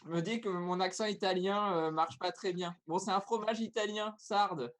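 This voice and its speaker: A man's voice: high-pitched, normal speed, a little hiss or hum. A man hosting a live online talk show over a video call, running a playful food quiz with a teasing manner.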